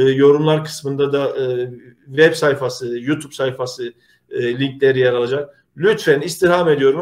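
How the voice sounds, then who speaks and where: A middle-aged man, hosting an online community show in an earnest, halting, polite way. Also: fairly high pitch. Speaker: host of a community video show. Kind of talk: informal presenting to an online audience.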